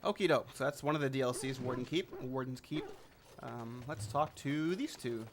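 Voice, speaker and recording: A man's voice: medium pitch, moderate pace, noisy background. A man giving offhand, hesitant let's-play commentary and reading dialogue choices aloud. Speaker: gamer playing through a game.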